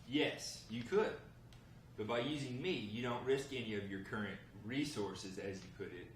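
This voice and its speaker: A man reading the part of an earnest, hard-up man bargaining with a gang boss, measured and persuasive, at a script table read. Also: medium pitch, lightly acted. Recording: roomy, noisy, distant mic.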